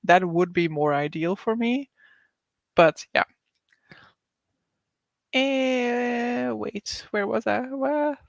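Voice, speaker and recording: A woman's voice: on the high side, extremely slow, gravelly, pauses often, dry recording, clean studio sound. A woman hosting a casual livestream reading sprint, reading viewer comments aloud, chatting with frequent laughs, likes and ums.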